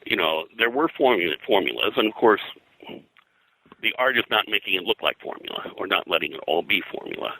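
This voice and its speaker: An older man with a very low voice and an extremely bright, hard-edged tone. He talks as a veteran interview guest, explaining and reminiscing in a discursive way, often rephrasing as he goes. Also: very animated, brisk, vocal fry, a little hiss or hum, low-quality recording. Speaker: interview guest, veteran comic book colorist.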